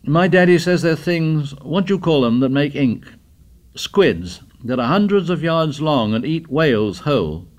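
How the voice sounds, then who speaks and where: A man's voice: on the high side, expressive, unhurried, pitched above the performer's own voice. A man reading aloud, voicing an earnest young schoolboy who rambles and hedges as he talks. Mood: eager. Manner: rambling, hedging, eager. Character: chattering earnest schoolboy Morris.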